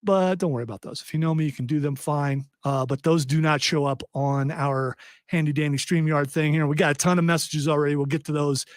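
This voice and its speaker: A joking, rambling man hosts a live talk show from a small studio. His voice sits a little high, and the sound is dry and close to the mic. He sounds relaxed and chatty. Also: a little fast, dry recording. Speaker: podcast host. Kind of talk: casual live show chat.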